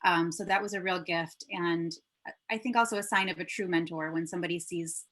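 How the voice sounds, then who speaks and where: A woman's voice: on the low side, fairly even intonation, a bit bright. A woman producer, raised between Michigan and Germany with German as her first language, chatting upbeat as a guest on a video call interview. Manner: upbeat, chatty, quick laughs.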